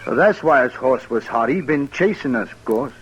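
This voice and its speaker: An actor playing a naive, slow-witted outlaw drifter with a rural American accent and folksy manner in an old radio western. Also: male voice, medium pitch, expressive, a bit bright, lightly acted. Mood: guileless. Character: simple-minded, naive male outlaw drifter, war veteran. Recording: noisy vintage radio recording.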